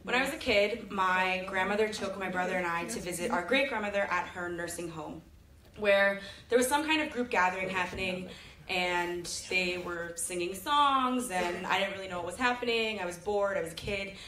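A woman's voice: medium pitch, moderate pace, bright, forceful tone. A young woman from California giving a chatty, joking stage talk into a handheld mic in a large auditorium. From Sacramento, California. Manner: chatty, jokey, self-deprecating storytelling. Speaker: presenter. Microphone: handheld mic filmed from the audience. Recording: echoey hall, audience phone recording.

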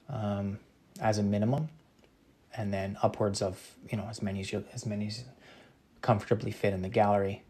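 Low, gravelly Canadian man chatting. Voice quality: careful, crisp articulation of some words. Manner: relaxed, thinking aloud with many ums and restarts. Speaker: painter answering live chat. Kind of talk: casual live-stream chat while painting.

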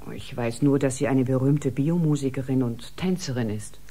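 A woman's voice: a bass voice, moderate pace, dark tone. A woman playing a composed female doctor in a German audio drama, speaking in a formal, factual way. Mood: guarded. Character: composed female doctor proudly explaining cryosleep technology.

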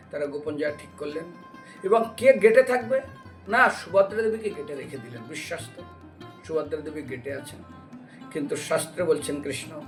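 A man preaching in a devotional storytelling style, explaining scripture and asking rhetorical questions as he goes. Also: high voice. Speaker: religious preacher giving a devotional lecture. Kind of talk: devotional storytelling sermon with recited scripture verses. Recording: distant microphone with music behind.

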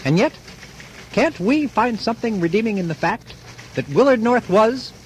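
High, animated male radio narrator. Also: normal speed, slight background noise. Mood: musing, scornful. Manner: theatrical, rhetorical. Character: solemn, judging male storyteller narrator.

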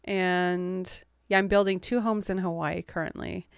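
A woman in her thirties, from England but with an American accent. She is a guest author in a casual interview, soft-spoken, laughing often and trailing off. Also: lowish voice, steady tone, measured pace, smooth, dry recording, studio quality.

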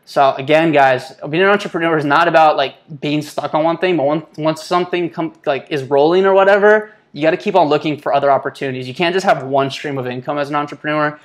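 A man's voice: on the high side, fast talker, few pauses. Young man in casual vlog talk. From born in Israel. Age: 20s. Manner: informal and slangy, often addressing listeners as guys. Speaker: online entrepreneur, YouTuber and mentor. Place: small home office, indoors. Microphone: lapel mic.

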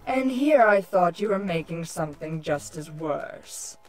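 A woman's voice: lowish voice, lively intonation, a little slow, over music. A woman voicing a haughty, irritable changeling queen villain, sardonic and scolding, in a cartoon-style fan audio drama.

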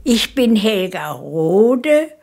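A woman of about 100 from Königsberg in former East Prussia, reminiscing in an unhurried, halting way in a documentary interview. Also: medium pitch, very animated, creaky, darkish tone. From Königsberg, East Prussia, Germany. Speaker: documentary interviewee, eyewitness recalling her life. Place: furnished living room, indoors.

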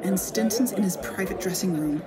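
A woman's voice: medium pitch, moderate pace, lightly acted. A woman playing a hesitant, understated but determined investigator in a naturalistic fiction podcast. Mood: tense, hushed. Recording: busy crowd chatter behind.

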